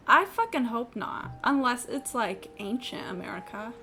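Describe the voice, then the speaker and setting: A woman game streamer with a fairly high voice talks off the cuff as she plays. She is blunt and informal, swearing freely, with faint music underneath. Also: sing-song, moderate pace, bright, forceful tone. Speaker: video game live streamer. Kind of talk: casual reacting chat while gaming.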